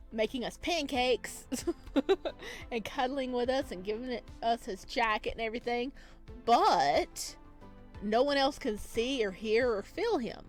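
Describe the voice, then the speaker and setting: A woman chatting casually and giggly as she plays a game and reads its story text aloud. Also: a little high. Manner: chatty, giggly, reacts aloud while reading. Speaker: let's play host and reader. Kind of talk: casual game commentary and reading visual novel text aloud. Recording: music behind the voice.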